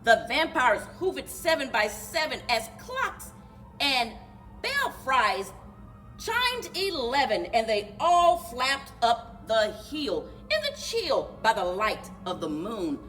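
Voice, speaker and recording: A woman reading a children's storybook aloud in an animated, rhythmic singsong for a library story time. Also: a little high, slow pace, soft background music. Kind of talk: children's storybook read-aloud with chanted refrains.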